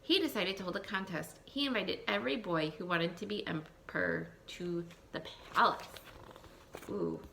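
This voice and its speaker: A woman teacher reading a picture book aloud to young children, expressive, thinking aloud and putting questions to her listeners. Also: fairly low pitch, moderate pace, vocal fry, a little hiss or hum, poor audio. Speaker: elementary school teacher.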